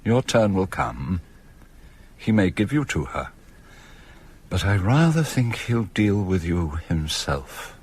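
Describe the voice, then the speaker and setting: Deep, slow, sinister villain. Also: male voice, sing-song, darkish tone, slightly rough, heavily acted. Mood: gloating. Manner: slow, menacingly polite, formal old-world phrasing. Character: sinister, mocking older male villain's servant, coldly courteous. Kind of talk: radio drama acting.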